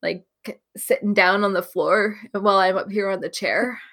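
A woman's voice: mid-range voice, fairly even intonation, moderate pace, a bit bright. A young woman with a nasal, congested voice from being sick, chatting casually and wryly as a podcast co-host.

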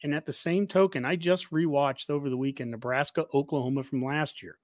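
A man's voice: on the high side, fairly even intonation, brisk, dry recording, studio quality. An American man hosting a podcast in a casual sports interview chat. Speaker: podcast host.

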